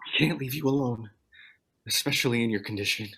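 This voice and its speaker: A man's voice: medium pitch, fairly quick, sharp tone, poor audio. A man playing an anxious, protective husband and father in a table read. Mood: worried, urgent.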